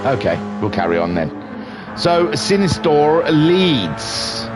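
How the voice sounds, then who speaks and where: A man's voice: fairly high pitch, sing-song, slow. A middle-aged man from England with a mixed northern and southern English accent, chatting loosely while commentating a live racing stream and reading chat aloud. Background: game music and engine sounds.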